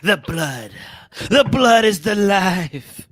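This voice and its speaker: A manic lunatic from a gothic horror play, as an amateur performer acts it. The delivery is theatrical and wheedling, sliding up and down with a sharp, bright edge. The sound is close and dry. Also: medium pitch, animated, very slow, dry recording, heavily acted. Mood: fervent obsession. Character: deranged asylum patient craving living creatures, wheedling and manic.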